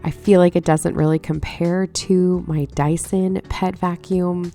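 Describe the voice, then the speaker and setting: A woman with a low, mellow voice narrates a home vlog as a voice-over, slightly hoarse. She talks plainly and conversationally. Faint music runs underneath. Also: flat delivery, a little slow. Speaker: home vlogger.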